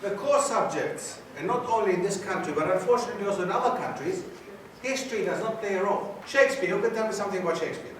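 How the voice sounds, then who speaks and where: Male guest lecturer, fairly high voice, quizzes the crowd, recorded far off in a very reverberant hall. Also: quick pace, slight background noise. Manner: questions the audience, repeats key points for emphasis. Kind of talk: university society lecture.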